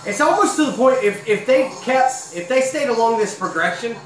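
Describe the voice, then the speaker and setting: Casting: thirties male streamer, rambling casual chat, boom mic, roomy home room with faint music. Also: animated, normal speed. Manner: chatty, rambling, says "dude" a lot. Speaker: live-stream host. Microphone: boom mic in front of him.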